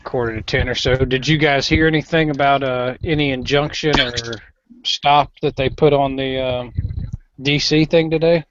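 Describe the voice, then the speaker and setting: A man hosting a casual online voice chat, talking in a relaxed, agreeable way. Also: medium pitch, moderate pace, noisy background. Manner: relaxed, agreeable, laid-back. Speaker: chat host. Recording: dry dull lo-fi voice chat audio.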